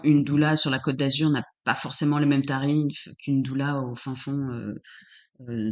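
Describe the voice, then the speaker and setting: Chatty woman, doula guest. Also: a bass voice. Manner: searching for words, frequent restarts. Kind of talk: casual interview answers.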